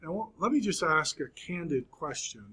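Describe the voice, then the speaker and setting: A man in his fifties teaching a Bible class, speaking slowly with frequent pauses and fillers. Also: fairly high pitch, expressive, sharp tone, breathy edge. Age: 50s. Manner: slow, halting, many fillers and pauses. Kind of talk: classroom lecture.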